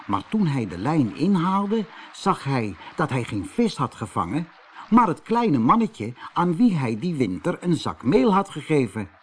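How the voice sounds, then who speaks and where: A man narrating a fairy tale for children as an audiobook reader, in an expressive storytelling manner. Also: on the high side, unhurried, a little hiss or hum.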